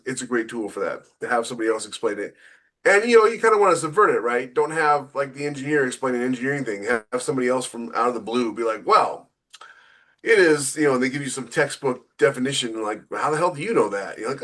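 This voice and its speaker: A man's voice: medium pitch, lively intonation, fast, fairly bright tone. A man co-hosting a podcast as a science fiction author, explaining things casually and peppering his talk with "you know.".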